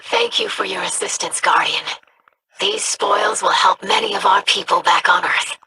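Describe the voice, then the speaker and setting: A woman's voice: medium pitch, normal speed, bright, forceful tone, slight background noise, close to an everyday voice, poor audio. A woman voicing a hopeful, polite young alien girl in a video game, speaking earnestly.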